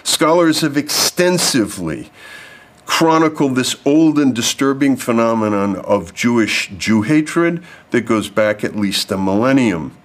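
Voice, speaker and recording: A man's voice: mid-range voice, lively intonation, a little slow. A man delivering a prepared lecture to an audience, reading formal text and adding off-script asides. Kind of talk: prepared speech read to an audience.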